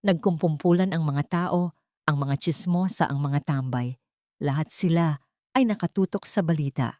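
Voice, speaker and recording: A woman's voice: deep voice, normal speed, darkish tone, dry recording, studio quality. A woman narrating a dramatic first-person story in a tense, suspenseful way.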